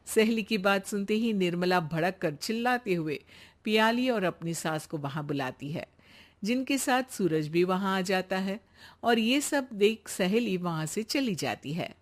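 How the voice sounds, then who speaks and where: A woman's voice: mid-range voice, a little slow. Woman telling a cartoon bedtime story, voice goes up and down a lot. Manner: expressive storyteller. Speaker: narrator. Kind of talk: scripted storytelling narration.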